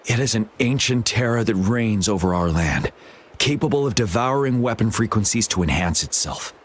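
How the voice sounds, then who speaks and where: A man's voice: on the low side, animated, moderate pace. A man playing a stern, resolute military general in a game, speaking in a grave, formal, commanding manner. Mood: grave, urgent. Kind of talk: scripted game dialogue. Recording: background music.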